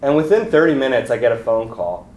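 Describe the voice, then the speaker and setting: A young man, a graduate student, earnestly telling a personal faith story with some halting restarts. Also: mid-range voice, very animated, darkish tone, slight background noise. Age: young adult. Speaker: graduate student giving testimony. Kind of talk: personal testimony. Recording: echoey room.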